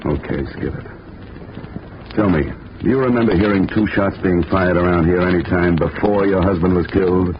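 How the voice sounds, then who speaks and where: An actor playing a wisecracking tough insurance investigator who narrates a 1950s radio drama in a dry, sardonic, clipped way. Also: male voice, deep voice, moderate pace, background noise, lightly acted. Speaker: narrator and lead detective. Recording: lo-fi 1950 radio broadcast with loud music.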